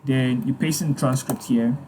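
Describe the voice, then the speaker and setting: A man hosting a screen-recorded software tutorial, talking casually with frequent fillers and repeating his points. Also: mid-range voice, fairly even intonation, normal speed, a little hiss or hum, poor audio. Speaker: tutorial host.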